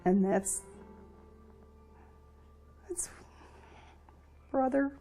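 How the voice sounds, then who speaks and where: Older american lady being interviewed, soft mellow tone, plainspoken, halting and reflective, faint music behind her. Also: high voice. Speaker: interviewee, veteran's wife. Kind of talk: personal recollection in an interview. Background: music under the voice.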